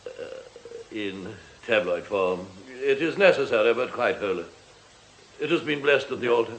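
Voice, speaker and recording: Radio drama cult priest, medium pitch, sanctimonious, pompous, evasive, oily piety. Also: male voice, animated, normal speed, gravelly, slight background noise, low-quality recording. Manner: pompous, lofty, pious delivery. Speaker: actor in a radio detective drama.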